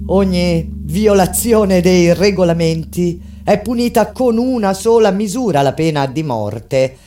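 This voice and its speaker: A woman delivers dramatic theatrical narration in a solemn, deliberate manner, with weighty pauses. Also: on the low side, lively intonation. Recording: voice over loud plucked guitar music. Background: loud acoustic guitar music.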